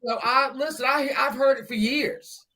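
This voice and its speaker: A woman's voice: high voice, steady tone, normal speed, crowd noise. A woman hosting a casual group livestream chat, effusive and affectionate yet blunt, with some swearing. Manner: affectionate, effusive, terms of endearment. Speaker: live stream host.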